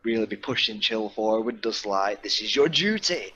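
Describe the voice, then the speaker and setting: A man's voice: lowish voice, expressive, moderate pace, pushed and bright, a little hiss or hum. A man talking casually as a player in a tabletop game over an online voice call. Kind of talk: casual chat. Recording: slightly dull voice-call audio.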